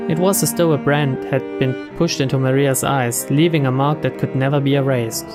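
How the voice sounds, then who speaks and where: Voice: mid-range voice, steady tone, normal speed. A narrator giving a scripted reading of a story from a visual novel. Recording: voice over clear string music.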